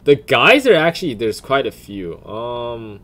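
A man giving casual off-the-cuff commentary in a crude, profane, blunt way, often deliberating out loud. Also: mid-range voice, very animated, measured pace. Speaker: video creator.

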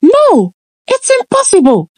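A frightened young village maiden, as a woman acts her out, her voice pitched high and swinging wide. She pleads in halting, stammering phrases, with a soft, mellow tone and a dry, close sound. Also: wildly swooping pitch, dry recording, full character voice. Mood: frightened pleading.

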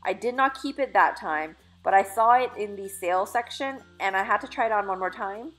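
A woman's voice: medium pitch, a little fast, a bit bright, soft background music, low-quality recording. A woman in her thirties chatting through a clothing try-on haul in a store dressing room, upbeat and casual with fillers and self-corrections. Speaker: fashion vlogger. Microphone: phone camera held in mirror.

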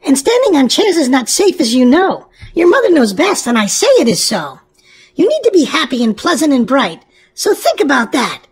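A woman's voice: high voice, big pitch swings, moderate pace. A woman voicing a stern, scolding mother rabbit in a storybook, firm and lecturing.

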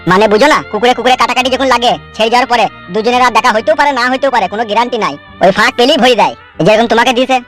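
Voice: mid-range voice, rapid-fire, hardly any pauses, a bit bright, soft background music, natural voice. A performer voicing a scolding, streetwise young man in a comedy cartoon.

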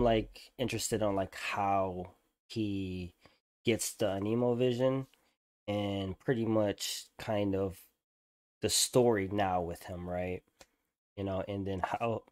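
A man's voice: lowish voice, darkish tone, dry recording. A young man giving casual reaction commentary in an informal, slangy, rambling way. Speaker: reaction video host.

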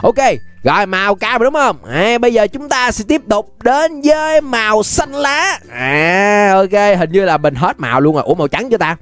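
A man's voice: high voice, sing-song, moderate pace, few pauses, fairly bright tone. A young man with a Southern Vietnamese accent giving casual, playful, exclamatory gameplay commentary as a YouTube host. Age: young adult. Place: indoor room, webcam setup.

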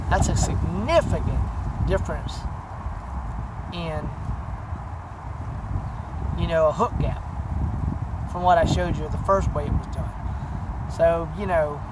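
A man talks casually into a close camera outdoors, showing fishing tricks. He rambles and fills gaps with "you know", his voice sitting a little high. Clear outdoor noise runs under the recording. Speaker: fishing channel host. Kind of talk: casual how-to demonstration. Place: outdoors by a pine wood. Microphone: camera close at arm's length. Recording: noisy outdoor camera audio.

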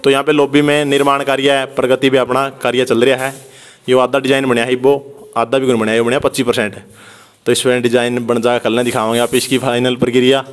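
A man's voice: mid-range voice, level tone, fast talker, clean tone. A young man with a Haryanvi accent talks casually and chattily to viewers as a vlogger, filming on a handheld phone. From Haryana, India. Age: young adult. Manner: chatty, repeatedly addresses viewers as brother. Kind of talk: casual vlog commentary. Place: unfinished concrete house, indoors and at doorway.

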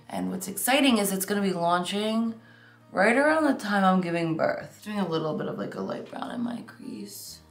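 A woman's voice: lowish voice, a bit bright, roomy sound. A young woman vlogging casually while doing her makeup at home, rambling and chatty with lots of fillers. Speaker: beauty vlogger. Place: home makeup room, indoors.

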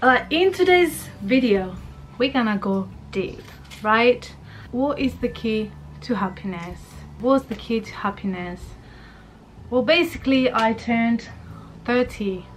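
A woman's voice: a little high, lively intonation, takes it slowly, a little hiss or hum. Chatty thirty-year-old woman vlogging from her bedroom. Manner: rambling, chatty, many fillers. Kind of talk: casual chat to camera. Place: bedroom, indoors. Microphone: camera at arm's length.

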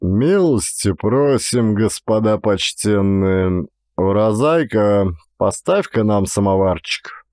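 A man voicing a sedate, dignified and mighty peasant in an audiobook, with a drawn-out, staid delivery. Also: fairly low pitch, animated, a little mellow, no echo, clean studio sound. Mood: stately, welcoming.

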